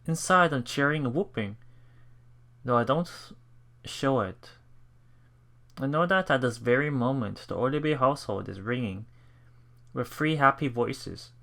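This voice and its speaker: A man hosting a let's play, reading visual novel text aloud and commenting in a halting, thinking-aloud manner. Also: mid-range voice, animated, vocal fry, pushed and bright. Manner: halting, thinking aloud between read passages.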